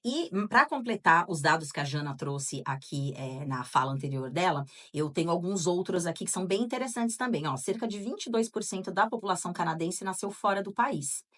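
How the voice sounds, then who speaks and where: A woman's voice: fairly low pitch, lively intonation, a little fast, hardly any pauses. A Brazilian woman co-hosting a casual podcast, chatting and reading out listener answers, with frequent tag questions. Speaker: podcast co-host.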